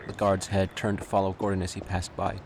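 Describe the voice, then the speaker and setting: A man narrates a scripted audio drama in a low voice, reading descriptive prose. Loud ambient sound effects run underneath the narration. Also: completely flat delivery, a little fast, noisy background.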